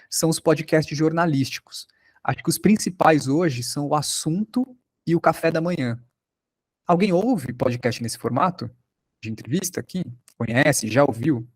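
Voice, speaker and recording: A man's voice: fairly high pitch, darkish tone, no room sound. A Brazilian man teaching a podcast workshop in an informal, conversational way over an online video call.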